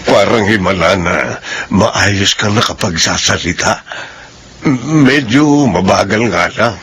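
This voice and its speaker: A kindly older brother, lowish voice, earnest and reassuring, faint hiss, radio drama. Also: male voice, takes it slowly, fairly bright tone. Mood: relieved.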